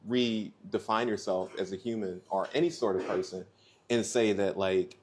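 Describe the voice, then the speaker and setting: A man's voice: fairly low pitch, steady tone, slight background noise. A man with an American accent hosting a casual podcast, talking through his views in long reasoned runs. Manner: fast, reflective, speaks in long reasoned runs. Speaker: podcast host, bar owner.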